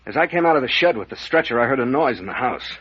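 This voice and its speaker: A commanding captain in a vintage radio mystery serial. He is brusque and sardonic, with a husky, medium-pitched voice. The old recording is dull and muffled. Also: expressive, quick pace.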